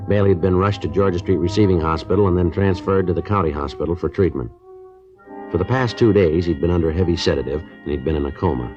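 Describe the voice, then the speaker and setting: Very deep and quick, a male police detective with a clipped, deadpan delivery. It is old radio crime drama on a dull vintage recording. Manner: deadpan, clipped, matter-of-fact. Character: terse, businesslike male police detective sergeant narrating.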